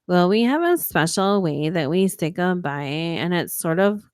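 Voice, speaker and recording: A woman's voice: fairly low pitch, smooth, no room sound, studio quality. A woman hosting a late-night live stream, reading ghost stories aloud with chatty asides, her voice worn and tiring. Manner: steady reading voice breaking into quick laughing asides. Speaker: livestream host reading stories.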